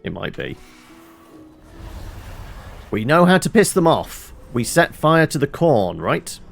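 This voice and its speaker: A man's voice: fairly high pitch, sing-song, slow, vocal fry, faint music behind. A British man giving casual, chatty live commentary as he plays a game, with wry asides. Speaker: game streamer.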